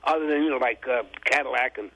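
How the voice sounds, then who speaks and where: A man's voice: medium pitch, fast talker, gravelly, sharp tone, dry recording, rough recording. A man co-hosting a radio car show, talking about old cars in a folksy, informal way with loose grammar. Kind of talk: casual on-air car chat.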